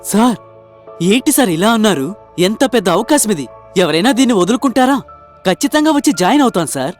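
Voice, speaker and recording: A man plays an earnest young inventor in a cartoon moral tale. His voice sits high for a man and he explains himself with hopeful sincerity. Faint music plays underneath. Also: big pitch swings, brisk. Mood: excited. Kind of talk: dramatised cartoon moral story dialogue.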